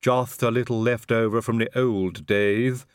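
A man voicing a calm, stitched-together male manservant who speaks slowly and oddly politely. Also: medium pitch, smooth, no room sound, studio quality, close to an everyday voice. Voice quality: slow, unhurried, oddly polite. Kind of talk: audiobook character voice.

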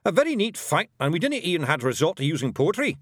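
A man doing a tiny, brash pixie chieftain with a gruff Scottish brogue, pitched up high with a cocky bounce. His voice swings wildly in pitch, full of cheeky bluster, heard close and dry as in a storybook reading. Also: big pitch swings, quick pace, dry recording. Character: scrappy tiny male pixie chieftain, boastful.

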